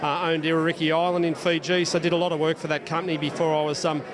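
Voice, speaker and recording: Slightly high-voiced man, crowd behind. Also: little pitch movement, very fast. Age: middle-aged. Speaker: club manager, interviewee. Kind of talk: businesslike interview. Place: entertainment venue during a party.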